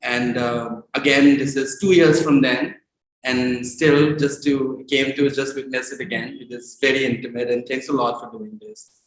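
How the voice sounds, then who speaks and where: A man's voice: mid-range voice, steady tone, normal speed. A man giving informal audience feedback, searching for words as he goes. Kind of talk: informal spoken tribute. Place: indoor event space, at a laptop. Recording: echoey room, clearly noisy with clicks.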